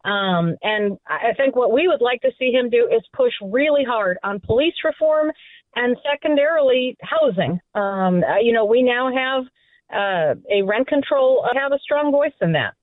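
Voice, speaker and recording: A woman's voice: on the high side, lively intonation, normal speed, no room sound. Woman commentator on a phone line. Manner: hesitant, many fillers. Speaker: political commentator. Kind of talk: radio panel discussion. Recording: phone-line guest, dry.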